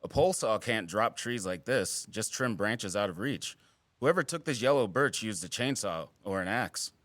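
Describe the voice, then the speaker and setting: An actor playing a wry, guarded wildlife biologist in an audio drama, with teasing, dry sarcasm and an earnest streak. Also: male voice, fairly low pitch, expressive, normal speed, fairly bright tone, dry recording. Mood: matter-of-fact. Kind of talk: naturalistic audio drama acting.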